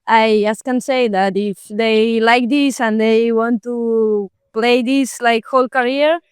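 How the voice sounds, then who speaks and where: A woman's voice: a little high, steady tone, slow pace, clean tone, no room sound, studio quality. A Spanish woman in her twenties, a table tennis player, answering questions in simple phrases with fillers. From Spain. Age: 20s.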